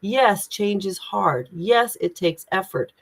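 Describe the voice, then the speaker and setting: A woman's voice: medium pitch, lively intonation, slow. A woman from Indiana with an American Midwestern accent, speaking as a communication coach in an emphatic, inspirational way on a video call. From United States (Indiana). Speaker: guest, executive communication coach. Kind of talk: LinkedIn live conversation.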